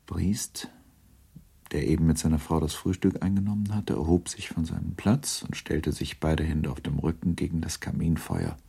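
A male narrator reads literary prose for a radio play. His voice is very deep and husky, with some creak. He keeps a measured, composed delivery. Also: fairly even intonation, warm tone.

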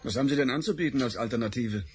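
An actor playing a matter-of-fact, breathy-voiced male official in a radio play. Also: mid-range voice, very fast, a little hiss or hum, close to an everyday voice.

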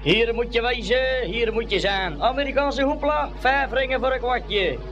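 High-pitched fairground barker. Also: male voice, normal speed, dull audio, soft background music. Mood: brash, coaxing. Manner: shouting sales patter. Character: brash fairground barker running a ring-toss stall. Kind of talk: Dutch radio play acting.

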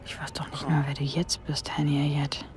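A woman's voice: low voice, flat delivery, moderate pace, faint music behind, natural voice. An actress playing a wry, reflective woman in an intimate inner monologue for a radio drama. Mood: wistful.

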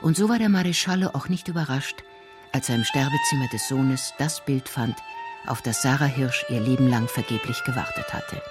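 A woman narrating a scripted radio drama in measured, deliberate phrases. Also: a bass voice, over music.

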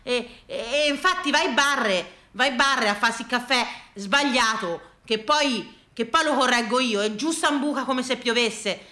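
A woman with a Tuscan accent performing a theatrical monologue, colloquial, with dramatic pauses and repetitions. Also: fairly high pitch, pushed and bright. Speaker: stage performer, storyteller. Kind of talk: theatrical comic-poignant monologue. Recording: echoey room sound.

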